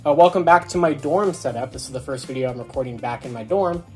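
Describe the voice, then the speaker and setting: A man's voice: medium pitch, quick pace, darkish tone, faint music behind. Young male vlogger, informal and enthusiastic, talking to camera in a small bedroom. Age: young adult. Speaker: toy review vlogger. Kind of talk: casual unboxing review to camera. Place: small dorm bedroom, indoors.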